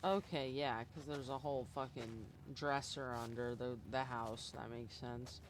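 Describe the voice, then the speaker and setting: A man's voice: mid-range voice, steady tone, unhurried, slight background noise. A man streaming a game live, giving informal commentary and reading aloud as he plays.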